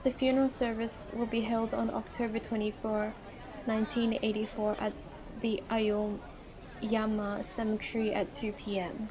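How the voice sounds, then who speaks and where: A woman casually commentating on a game and reading its text aloud, with expressive reactions. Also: fairly high pitch, measured pace, a crowd in the background. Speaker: gaming video host. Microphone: earphone mic.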